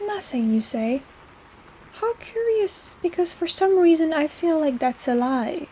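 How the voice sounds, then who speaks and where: A woman's voice: high voice, lively intonation, measured pace, dark tone, a little hiss or hum, poor audio. A woman playing a sarcastic but caring apothecary in an intimate personal-attention audio roleplay, with a playful, chiding manner. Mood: sardonic, teasing.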